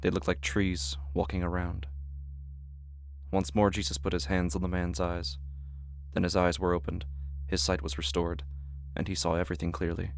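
Deep gravelly man, scripted podcast narration. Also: completely flat delivery, moderate pace, a little hiss or hum. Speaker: podcast creator, host and announcer.

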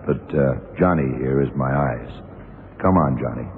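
A blind private detective with an extremely deep voice, cool and wry. He gives terse orders in old radio drama style. The recording is narrow and hissy, like a vintage broadcast. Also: normal speed. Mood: cool, probing. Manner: clipped, laconic, commanding. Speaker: radio drama actor playing the lead. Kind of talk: 1970s radio drama acting.

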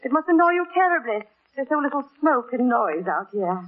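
An actress playing a witty, sharp-tongued young country gentlewoman with an arch, dryly teasing manner, in 1940s radio drama style. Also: sits high, sing-song, a little fast. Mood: arch, cutting. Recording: muffled old 1940s radio broadcast.

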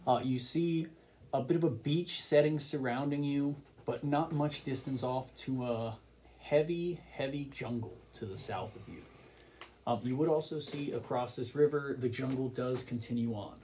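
A man's voice: mid-range voice, moderate pace, darkish tone, a little hiss or hum. A man acting as game master, narrating a tabletop game with descriptive scene-setting.

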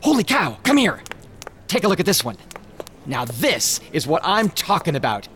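Eager American showman, very animated. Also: fairly high pitch, normal speed, a little hiss or hum. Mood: enthusiastic. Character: eager American male showman, opinionated and ambitious. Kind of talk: radio drama acting.

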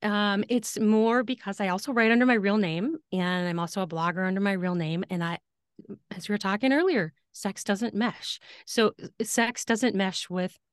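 A woman's voice: mid-range voice, steady tone, clear, resonant tone, no echo, studio quality. Laughing, chatty woman narrator. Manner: laughs often, halting restarts. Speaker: guest, audiobook narrator and writer. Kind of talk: casual interview conversation.

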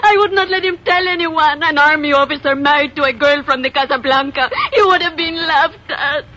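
High-voiced passionate young Latin woman. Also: a little fast, sharp tone. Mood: grieving. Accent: Spanish. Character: passionate young Latin American nightclub woman, grieving wife. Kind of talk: 1950s radio drama acting. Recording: muffled old radio recording, music behind.

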